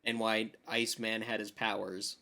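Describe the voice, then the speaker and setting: A man's voice: on the low side, level tone, moderate pace, creaky, sharp tone. Slangy, emphatic male podcast co-host. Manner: slangy, emphatic, says "dude" and "like". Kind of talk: casual chat about games and films.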